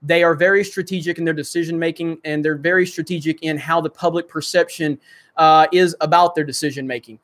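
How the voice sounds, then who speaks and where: Guy with a high voice doing a livestream, lots of ums, reading out chat comments. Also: fairly even intonation, brisk, fairly bright tone. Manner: rambling, many fillers, reads viewer comments aloud. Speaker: livestream host. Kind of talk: casual livestream chat reading super chats.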